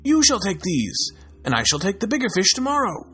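A man's voice: medium pitch, sing-song, moderate pace, a bit bright, slightly rough, faint music behind, exaggerated voice. A man voicing Anene, a stubborn male crow who insists on doing all the work. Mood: insistent.

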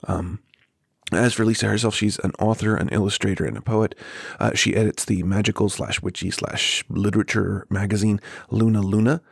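Hoarse, gravelly-voiced man with a cold. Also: lowish voice, steady tone, no echo, clean studio sound. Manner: hurried, with many ums and uhs. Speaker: podcast host. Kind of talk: casual spoken intro. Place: home.

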